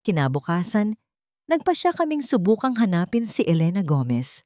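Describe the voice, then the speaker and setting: A woman's voice: mid-range voice, very animated, moderate pace, a little mellow, dry recording, clean studio sound. A woman reading a scripted first-person dramatic story as its narrator.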